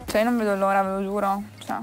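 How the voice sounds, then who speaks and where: A young woman on a reality show, chatting excitably and dramatically on a beach. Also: mid-range voice, fast talker. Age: young adult. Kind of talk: casual chat. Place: outdoors on a beach. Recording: music bed underneath.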